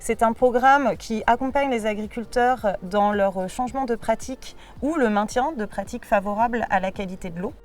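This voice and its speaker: A woman giving a prepared, measured testimonial as a programme coordinator. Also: on the high side, distant mic, soft background music. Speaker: local water and agriculture programme coordinator.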